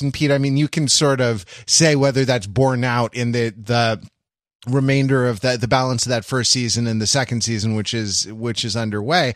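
A man's voice: medium pitch, fairly bright tone, no room sound, studio quality. A man co-hosting a podcast in casual chat, rambling with frequent false starts and comic exaggeration. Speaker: podcast co-host. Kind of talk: casual panel chat about television.

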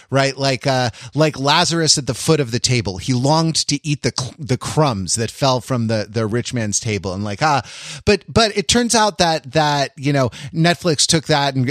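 A man's voice: medium pitch, a bit bright, no echo, clean studio sound. An American man in a casual pop culture podcast discussion, talking in a digressive, discursive way and often trailing off mid-thought. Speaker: podcast panelist.

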